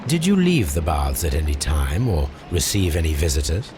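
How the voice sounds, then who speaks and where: A man playing a shrewd, commanding English gentleman detective in a Victorian video game, speaking with precise, formal phrasing. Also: extremely low pitch, sing-song, normal speed, noisy background. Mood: stern, probing. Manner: precise, formal, clipped questioning. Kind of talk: video game cutscene acting.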